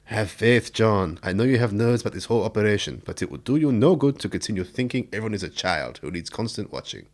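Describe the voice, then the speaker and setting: An actor playing a gallant, confident gang leader who speaks formally and courteously in a radio drama. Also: male voice, lowish voice, lively intonation, fast talker, few pauses.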